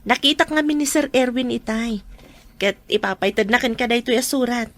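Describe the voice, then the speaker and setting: A woman's voice: on the high side, fairly even intonation, fairly quick. A woman playing a cheerful, chatty best friend in a radio drama. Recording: soft music underneath.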